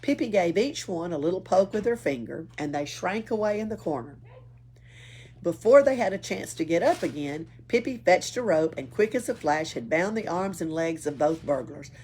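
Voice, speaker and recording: Animated low-pitched woman reading a story. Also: moderate pace, husky. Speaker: library storyteller. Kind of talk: animated children's story read-aloud. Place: library. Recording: faint background noise, room ambience.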